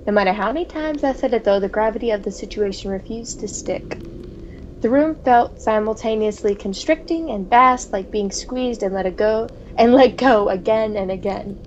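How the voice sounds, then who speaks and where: A woman co-hosting a gaming video, reading visual novel text aloud in a playful, expressive way with casual asides. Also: mid-range voice, moderate pace. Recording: dull, noisy home recording.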